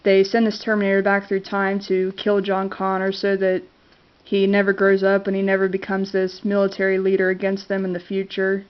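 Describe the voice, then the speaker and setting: A woman's voice: medium pitch, completely flat delivery, brisk, hardly any pauses. A congested woman with a cold talks casually to her camera about movies she loves, hesitant and full of fillers. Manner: hesitant, many fillers and restarts. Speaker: vlogger reviewing favorite movies. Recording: dull lo-fi camera audio.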